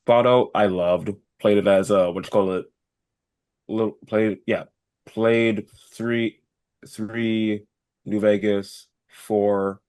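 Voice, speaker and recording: A man with a fairly low voice takes part in a podcast as a panelist. He talks in a casual, chatty way. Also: level tone, slow pace, darkish tone, smooth. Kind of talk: casual chat.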